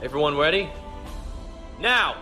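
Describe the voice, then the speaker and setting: A man's voice: on the high side, lively intonation, measured pace, over music. A man acting a stern, decisive young starship captain in a sci-fi audio drama. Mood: grave urgency.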